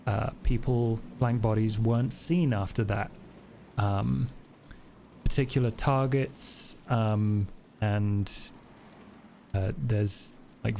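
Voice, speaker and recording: A man improvising tabletop game narration as game master, halting as he thinks aloud. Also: mid-range voice, warm tone, a little hiss or hum.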